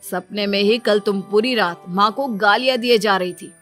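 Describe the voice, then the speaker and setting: A woman's voice: mid-range voice, moderate pace, a bit bright, faint music behind, lightly acted. A woman voicing an exasperated young husband in a cartoon story, complaining and sarcastic. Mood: sarcastic, accusing.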